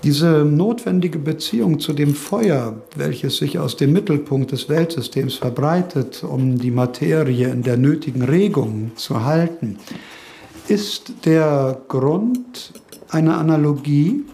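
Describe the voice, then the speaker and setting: An actor playing a pensive, scholarly eighteenth-century male philosopher in a radio drama, speaking in a deliberate, formal, learned manner. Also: fairly high pitch, vocal fry, mellow, slightly rough, exaggerated voice. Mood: lecturing, measured. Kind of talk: radio drama monologue and lecturing.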